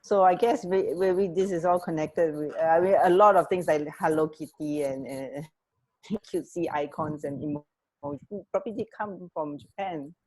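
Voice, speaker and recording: A woman co-hosting a radio show, chatting casually and haltingly over an internet connection. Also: on the low side, a little mellow, rough recording. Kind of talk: casual chat.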